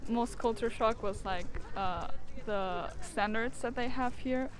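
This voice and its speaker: A young woman from Germany answering street interview questions outdoors, pausing with fillers. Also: fairly high pitch. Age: young adult. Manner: hesitant, many fillers. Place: outdoors at a city plaza. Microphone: handheld mic held by interviewer.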